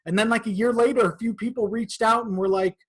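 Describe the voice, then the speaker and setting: A man's voice: sits high, steady tone, fast. A man talking casually as a podcast guest about his creative work. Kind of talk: casual chat.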